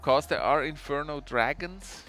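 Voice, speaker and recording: A man's voice: fairly high pitch, a little slow, a bit bright. A man co-commentating an esports broadcast in casual banter. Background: background music.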